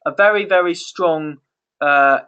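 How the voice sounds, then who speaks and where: High, bright-voiced man hosting a call. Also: a little slow, clean tone, muffled recording. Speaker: call host. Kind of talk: casual conference-call chat. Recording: conference call.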